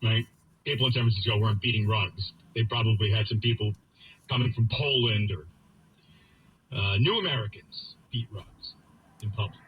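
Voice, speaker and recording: A man's voice: on the low side, normal speed, highs cut off. A man hosting talk radio, giving an opinionated, emphatic monologue and repeating phrases for effect. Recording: radio broadcast with faint background noise.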